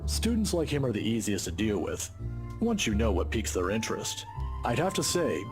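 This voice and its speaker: Wry male professor in a game, measured wording but lively melody, music behind him. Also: lowish voice. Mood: wry, advising. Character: wry, composed male professor giving advice. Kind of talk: visual novel game acting.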